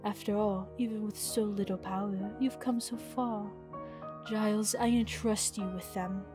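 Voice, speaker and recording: A woman playing a commanding, scheming goddess mother with a measured, imperious delivery in a fantasy game roleplay. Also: medium pitch, steady tone, music in the background, close to an everyday voice. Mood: stern, commanding.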